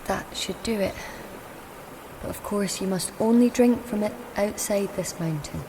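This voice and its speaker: An actress playing a cunning, frail-seeming woman in an audio drama, formal and deliberate, often dropping into breathy whispers over a noisy ambient soundscape. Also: medium pitch, lightly acted. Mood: coaxing.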